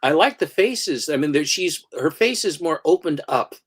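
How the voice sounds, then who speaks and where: A man co-hosts a casual livestream chat, his somewhat high voice rising and falling in a lively way. He jumps in with quick, animated reactions and comments. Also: slightly rough.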